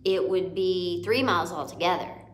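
A woman's voice: fairly low pitch, normal speed, a little hiss or hum, lightly acted. A woman voicing an eager, inquisitive young boy mouse in a storybook reading. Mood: reasoning. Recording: echoey room.

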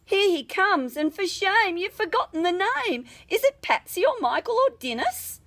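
A woman voicing a jocular, bustling bush priest in an animated, exclamatory storybook reading. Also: very high-pitched, normal speed, voice pushed up, heavily acted. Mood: jocular urgency.

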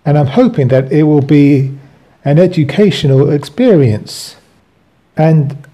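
A man hosting and reading from his own book with commentary, in deliberate, halting phrases. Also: fairly high pitch, mellow.

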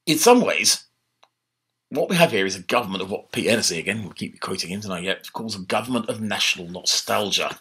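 An older man talks through a history lesson in a lively, chatty way, his voice husky yet bright and forward. He sits in a book-lined study at home and speaks into his laptop's built-in mic. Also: lowish voice, brisk. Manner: animated, conversational, gesturing with his hands. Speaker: history lecturer. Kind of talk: informal online history talk. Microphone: laptop webcam mic.

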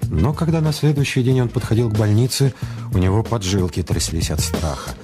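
Low-voiced male radio drama narrator, music behind. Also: brisk.

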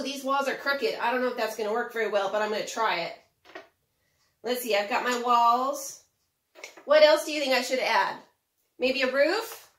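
A middle-aged woman presenting a preschool lesson to young children in an animated way, with simple phrasing and frequent questions to the listener. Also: high voice, slight background noise. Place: indoors, home kitchen. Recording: roomy, echoey home kitchen.

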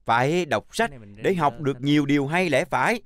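A man playing a stern, patient older chalk schoolteacher in a children's cartoon, speaking in a measured, instructive way. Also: a little high, fairly bright tone, lightly acted.

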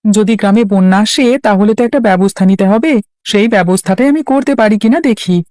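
A man's voice: high-pitched, a little fast, darkish tone, dry recording, clean studio sound, close to an everyday voice. A man voicing an earnest, polite young village lad in a cartoon, helpful and concerned. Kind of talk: Bangla cartoon voice acting.

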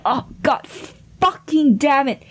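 A woman's voice: high voice, animated, takes it slowly. A woman voice actor playing a tense, foul-mouthed, commanding woman with a secret in a dramatic audio roleplay, urgent and swearing. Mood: cold, threatening. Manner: swearing, urgent, breathy sighs and stammers. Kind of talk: dramatic ASMR roleplay acting.

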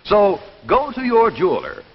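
A man's voice: sits high, wildly swooping pitch, normal speed, gravelly. An American man in his thirties delivering a scripted 1950s television commercial. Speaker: commercial pitchman. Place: television studio display counter. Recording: dull, noisy 1950s TV soundtrack.

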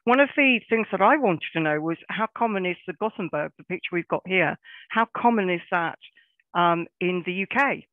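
A woman's voice: fairly low pitch, lively intonation, moderate pace, dry recording. A woman hosting a webinar and putting questions to a guest. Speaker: webinar host. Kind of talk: moderating a Q&A. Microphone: webcam on video call. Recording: tinny phone-like call audio.

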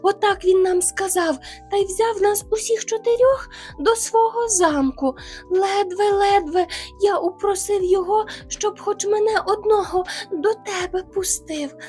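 A woman's voice: very high-pitched, measured pace, darkish tone, soft background music, pitched above the performer's own voice. Woman doing a timid, stammering little hare. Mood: pleading. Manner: stammering, tearful pleading. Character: timid small male hare, trembling and polite.

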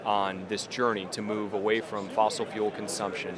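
A man's voice: level tone, normal speed. A man in his thirties, a company executive, giving an earnest promotional interview in a busy trade show hall. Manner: earnest, fluent pitch. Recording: crowd chatter behind.

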